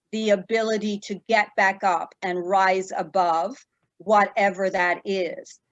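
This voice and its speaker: A woman in her fifties from Jamaica, an attorney answering interview questions in a measured way with many fillers and pauses. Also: mid-range voice, dry recording, highs cut off.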